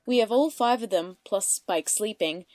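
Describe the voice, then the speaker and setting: A woman's voice: mid-range voice, normal speed, darkish tone. A woman in her twenties with an Australian accent, giving casual explanatory commentary as the creator of an audio drama.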